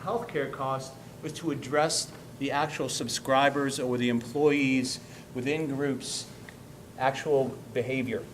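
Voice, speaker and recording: Middle-aged male presenter, mid-pitched and loud, pitching a program to a board with "um"s and "okay?" tags, faint noise in the room. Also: fairly even intonation, takes it slowly, low-quality recording. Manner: loud-voiced, with frequent "um" and "okay?" check-ins. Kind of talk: business presentation. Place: school district meeting room.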